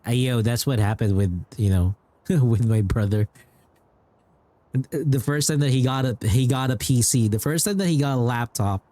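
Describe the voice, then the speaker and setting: A man talks to his livestream chat in a casual, rambling way, circling back over his own phrases. His mid-pitched voice is recorded dry and close to the microphone. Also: normal speed, mellow, dry recording. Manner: rambling, repeats himself. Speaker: game livestreamer.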